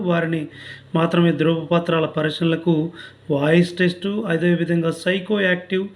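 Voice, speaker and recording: A man with a high-pitched voice and a Telugu accent presents as a channel host. His delivery is very even, with little rise and fall. He reads out informational notices item by item, in an instructional manner. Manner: instructional, reading out details item by item. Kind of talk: informational job notification narration.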